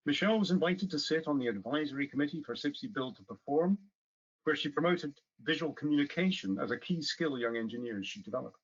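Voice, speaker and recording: A man's voice: fairly high pitch, expressive, fast talker, slightly rough. A man, an awards presenter, formally introducing the next finalist. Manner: formal, announcing delivery. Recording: dull lo-fi audio.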